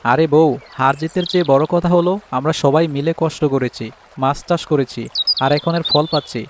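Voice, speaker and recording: Chirping birds and clear background noise surround a village husband acted by a man in a cartoon. His voice sits fairly high for a man. He speaks as an encouraging fish farmer who keeps the household at peace. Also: steady tone, fairly quick, natural voice. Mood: reassuring. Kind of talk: Bangla cartoon voice acting.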